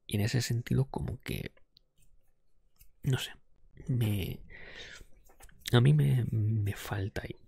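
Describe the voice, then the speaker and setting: A man talking in a casual, breathy ASMR whisper close to the microphone, thinking aloud with some hesitation. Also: mid-range voice, dark tone, dry recording. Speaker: ASMR podcast host. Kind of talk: whispered ASMR talk, casual game ranking.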